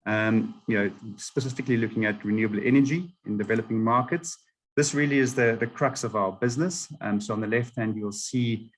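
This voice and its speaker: A South African man presenting slides to a webinar audience, steady and explanatory with frequent ums. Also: medium pitch, brisk. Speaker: webinar panelist.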